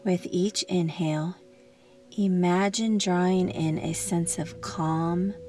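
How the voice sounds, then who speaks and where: Slow, soothing woman guiding meditation. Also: lowish voice, steady tone, slight background noise. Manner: gentle, soothing, unhurried instruction with long pauses. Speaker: meditation guide. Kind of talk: guided meditation narration.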